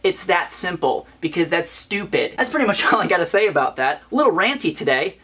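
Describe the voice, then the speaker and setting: A man's voice: sits high, sing-song, fairly quick, bright, forceful tone, breathy edge. A young man with a light, feminine-sounding voice, ranting chattily and playfully as a vlogger. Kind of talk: casual opinion vlog.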